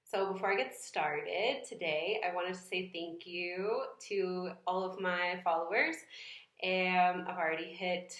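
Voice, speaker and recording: A young woman from New England talking thoughtfully in a casual vlog, pausing and restarting often. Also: on the low side, steady tone, a bit bright. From New England, United States. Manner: thoughtful, hesitant, many fillers and restarts. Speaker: vlogger. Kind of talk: casual reflective vlog talk. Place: home room. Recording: echoey room.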